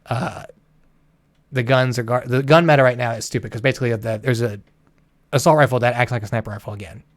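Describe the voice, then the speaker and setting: A man's voice: medium pitch, steady tone, fast talker. A man co-hosting a gaming livestream, chatting casually with an exasperated, sweary, ranting manner.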